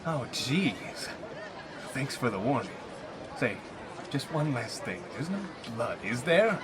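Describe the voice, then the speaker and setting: A man's voice: medium pitch, sing-song, slow pace, a crowd in the background, noisy background. A man playing a bossy but squeamish police lieutenant in a comedy radio play, fussy and easily flustered. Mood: nervous.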